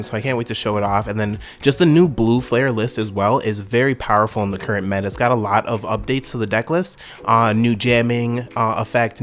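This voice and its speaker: A man's voice: fairly low pitch, fairly bright tone. A young man hosting a card game channel, giving casual gameplay commentary with a slightly nasal voice from a summer cold. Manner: fast, upbeat, casual gamer talk.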